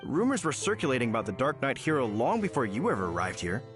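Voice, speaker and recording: A man's voice: medium pitch, huge pitch swings, quick pace, music in the background. A man voicing a dutiful, courteous knight in a video game, speaking formally and earnestly. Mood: suspicious. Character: dutiful, courteous adult male knight captain. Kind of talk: game voice acting.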